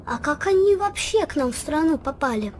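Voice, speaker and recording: A woman's voice: high voice, animated, a little fast, a little hiss or hum. A woman voicing a curious, pestering young child with a teasing singsong delivery in a scripted children's audio play.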